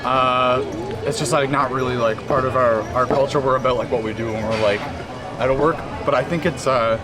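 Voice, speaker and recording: A man's voice: medium pitch, fairly even intonation, a little fast, over loud music. A man from Nova Scotia in a casual street interview, rambling with lots of "like" as he talks. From Nova Scotia, Canada. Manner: rambling, heavy use of "like".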